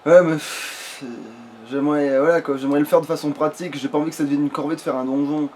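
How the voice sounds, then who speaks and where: A man with a slightly high voice chats casually through a game, crude and sweary as he thinks out loud. There is faint noise and ambience behind him, like a home recording. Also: steady tone, quick pace. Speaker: player in a gaming let's play. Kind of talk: casual gaming chat while playing.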